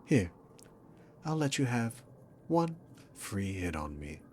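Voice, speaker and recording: Male actor as demon king, deep voice, slow taunting delivery, sarcastic, theatrical villain, ASMR roleplay, noisy background. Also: very animated, a little mellow. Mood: mocking reassurance. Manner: taunting, theatrical, drawn-out condescension.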